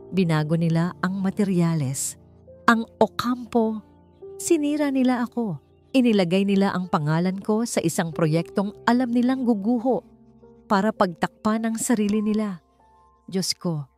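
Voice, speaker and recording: A woman's voice: medium pitch, unhurried, long pauses, darkish tone, faint music behind, close to an everyday voice. Woman voicing an anguished, desperate father. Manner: pained, desperate pleading. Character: anguished, desperate father, a ruined builder, recorded plea.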